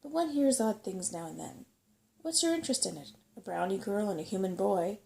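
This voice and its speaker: A woman's voice: mid-range voice, expressive, a little fast, creaky, warm tone, poor audio. A woman voicing a self-satisfied old male rat, a chatty and cautious know-it-all, in a bedtime story. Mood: knowing, curious.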